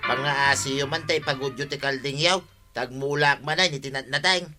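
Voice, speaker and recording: A man's voice: medium pitch, steady tone, moderate pace, music in the background, natural voice. An actor playing an irritated, blustering village man in an Ilocano radio comedy drama.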